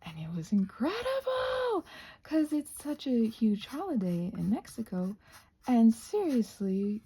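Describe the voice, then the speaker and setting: A woman's voice: fairly high pitch, huge pitch swings, unhurried, mellow, husky. A North American woman chatting casually while crafting, playful and scatterbrained, thinking aloud and often trailing off into murmurs. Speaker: craft and ASMR vlogger.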